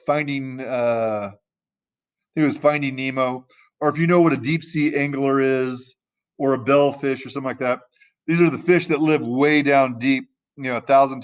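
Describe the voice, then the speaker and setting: A man teaching a class in a casual, conversational way, often pausing to search for the right word. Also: medium pitch, clear, resonant tone. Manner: loose and conversational, searching for words mid-sentence.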